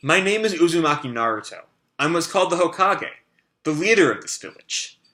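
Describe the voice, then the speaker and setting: A man's voice: on the high side, animated, brisk, pitched above the performer's own voice. A man reading a kind fatherly village leader. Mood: reassuring. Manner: gentle, reassuring.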